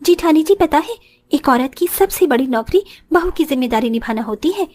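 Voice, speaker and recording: A woman's voice: high voice, expressive, normal speed, a little mellow. A woman voicing a humble, dutiful young village bride in a moral story cartoon, speaking politely and respectfully.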